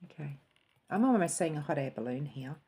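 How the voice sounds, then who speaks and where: Low, mellow-voiced woman giving a reading. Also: brisk, breathy edge. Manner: soft, musing, breaks into quiet calm voice. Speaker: tarot and cup reader. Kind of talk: spiritual reading to camera.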